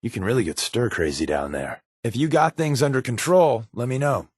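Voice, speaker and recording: A man's voice: medium pitch, animated, fairly quick, slightly rough, dry recording, clean studio sound. A man voicing a wisecracking, guarded undercover agent companion in a video game, with a wry, sarcastic, flippant delivery. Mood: guarded, restless.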